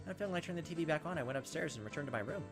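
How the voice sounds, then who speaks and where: A man's voice: a little high, expressive, rapid-fire, music in the background. A man hosting a gaming video, reading a visual novel aloud with casual, sometimes profane commentary. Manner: casual, profane asides, reacts to the text as he reads. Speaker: gaming video host, let's player.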